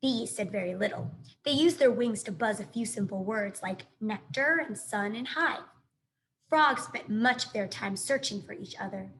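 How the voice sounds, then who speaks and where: A woman teacher reading a children's story aloud with expressive, carefully phrased narration and dramatic emphasis. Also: mid-range voice, moderate pace, slight background noise, poor audio.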